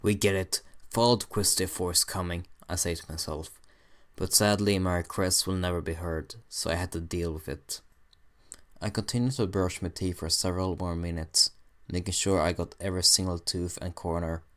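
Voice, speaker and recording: A man's voice: deep voice, steady tone, normal speed. A man reading a fan fiction story aloud in first person, hesitant and stumbling at times. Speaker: amateur story reader.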